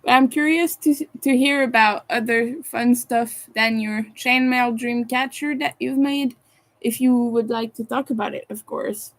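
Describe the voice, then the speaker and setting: Brief: French Canadian woman, higher voice, unhurried, hesitant streamer chat from her room at home. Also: steady tone. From Montreal, Quebec, Canada. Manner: soft, hesitant, many fillers, chuckling asides. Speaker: crochet livestreamer. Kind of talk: casual livestream chat, reading and answering chat.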